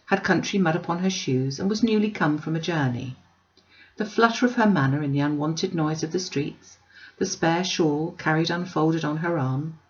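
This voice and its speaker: A woman reading a classic novel aloud as expressive storybook narration. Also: low-pitched, dull audio. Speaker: audiobook reader.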